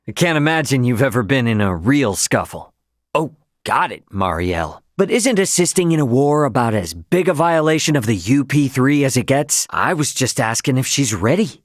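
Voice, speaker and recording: A man's voice: medium pitch, no room sound, studio quality, lightly acted. An actor playing a brash, informal young starship captain, a cocky but loyal man, in a video game. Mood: casual, teasing. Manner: informal, slangy, plain-spoken. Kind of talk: English game dub character dialogue.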